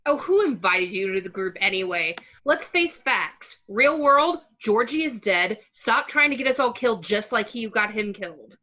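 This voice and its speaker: A woman's voice: mid-range voice, lively intonation, moderate pace, few pauses, bright, forceful tone, dull audio. A woman reading the part of a brash, wisecracking teenage boy at a home table read. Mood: scoffing. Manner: mouthy, sarcastic.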